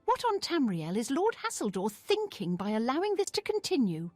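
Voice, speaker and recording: A devout, disapproving townswoman speaks emphatically in a fantasy game. Her very high voice is dry and animated, with music underneath. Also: normal speed, no echo, heavily acted. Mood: indignant. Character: pious, outraged townswoman of a fantasy city.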